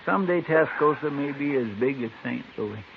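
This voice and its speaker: An American actor playing a folksy, talkative, loyal marshal's sidekick in an old radio western. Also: male voice, mid-range voice, lively intonation, moderate pace, gravelly. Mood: musing. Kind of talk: 1950s radio western acting. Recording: noisy, tinny old radio recording.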